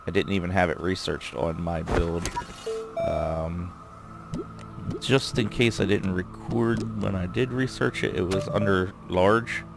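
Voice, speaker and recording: A man giving casual let's-play commentary, thinking aloud and rambling with fillers as he goes, his voice going a bit hoarse. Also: deep voice, unhurried, over music. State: losing his voice. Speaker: gameplay commentator.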